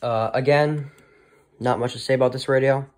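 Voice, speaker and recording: A man with a medium-pitched voice talks casually through a show-and-tell. He is unscripted and halting, letting sentences trail away. He is recorded indoors in a home room. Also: fairly even intonation, very noisy. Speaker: hobbyist presenter of a weather radio collection.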